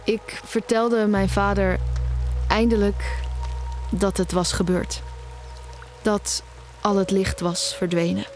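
A woman's voice: medium pitch, level tone, slow pace, long pauses, soft background music. A young woman acting in an audio drama as a nearly blind student, wounded and stubborn, in intimate dialogue and narration. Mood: reflective. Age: young adult.

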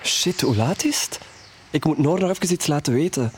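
A man's voice: on the high side, expressive, normal speed, a little hiss or hum, lightly acted. A man acting in an audio drama as a curious, teasing friend, in casual dialogue. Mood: hurried.

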